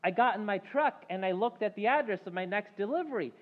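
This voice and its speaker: A man's voice: high-pitched, expressive, a little fast. An actor playing a laconic, cheeky delivery boy in an old-time radio comedy, with a casual, folksy manner. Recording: muffled phone-like sound, long hall reverb.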